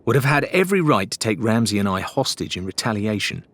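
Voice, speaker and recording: A man's voice: lowish voice, big pitch swings, quick pace, fairly bright tone, dry recording, heavily acted. A man voicing a spirited, earnest pirate woman in an audiobook, a little defensive in manner. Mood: indignant.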